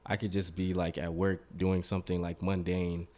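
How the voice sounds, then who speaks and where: Calm deep-voiced male producer. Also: normal speed. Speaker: music producer guest. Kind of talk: casual interview chat. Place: studio.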